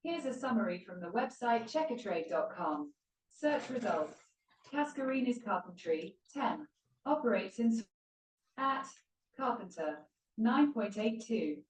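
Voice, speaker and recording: A synthetic female smart-speaker voice reads out search results in a flat, even, mechanical way. Her voice sits fairly high. She is heard from a distance through a speaker in an echoey room. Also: a little slow. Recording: played from a speaker, echoey and far off.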